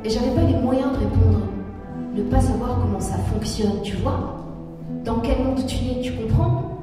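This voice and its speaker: A woman's voice: fairly high pitch, animated, a little slow. A woman from northern France, whose first language is Berber, giving a reflective, poetic spoken-word performance. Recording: roomy hall recording from far off with music.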